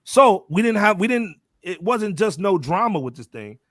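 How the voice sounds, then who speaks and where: A man's voice: high-pitched, very animated, moderate pace, fairly bright tone, no echo, clean studio sound. An American man hosting a music panel, talking casually in street slang and bantering with his panelists. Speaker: podcast host moderating a music panel.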